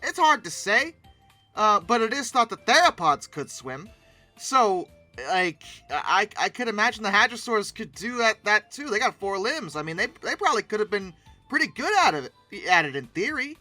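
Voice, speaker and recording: Animated, bright-voiced commentator. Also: mid-range voice, moderate pace, dry recording, faint music behind. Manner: theatrical, with mock-dramatic emphasis. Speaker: host of a paleoart commentary channel. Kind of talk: casual off-the-cuff commentary on pictures.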